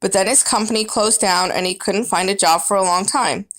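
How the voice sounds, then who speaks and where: A woman's voice: medium pitch, steady tone, brisk, a bit bright. A woman reading a children's book aloud as first-person narrator, with dramatic, emphatic storybook phrasing.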